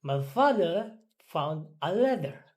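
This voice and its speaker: Female language learner, slightly low pitch, slow, careful repeat-after-me delivery. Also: very animated, darkish tone. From Philippines. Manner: careful, halting repetition. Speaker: English pronunciation student. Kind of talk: repeating drill words and reading practice sentences.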